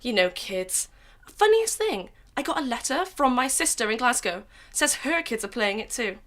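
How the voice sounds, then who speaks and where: A woman's voice: a little high, sing-song, normal speed, a bit bright. A woman playing a breezy, gossipy friend in a radio drama. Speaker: actor in a student radio drama.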